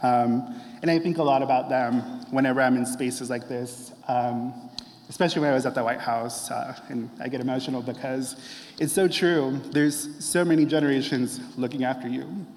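A young man giving an earnest, heartfelt prepared speech in a measured way. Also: medium pitch, fairly even intonation, a little mellow. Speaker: speaker. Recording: long hall reverb.

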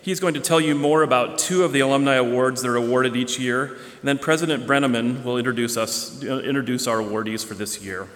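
A man's voice: mid-range voice, brisk, hardly any pauses, fairly bright tone. Man, emcee, matter-of-fact ceremony announcements, hall reverb. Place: large auditorium. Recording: reverberant auditorium.

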